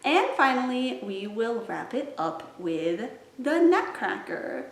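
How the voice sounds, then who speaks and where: A woman's voice: on the high side, very animated, slow. Echoey, upbeat woman chatting to viewers. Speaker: children's library storytime host. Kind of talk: chatty vlog, talking to viewers. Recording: roomy, echoey indoor sound.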